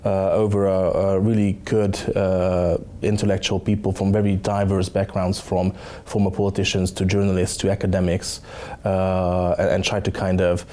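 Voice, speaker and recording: A man in his thirties with a Hungarian accent, a think tank director being interviewed, speaking haltingly with many fillers on a lapel mic in a studio. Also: sits low, flat delivery, dark tone. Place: studio set with dark curtains.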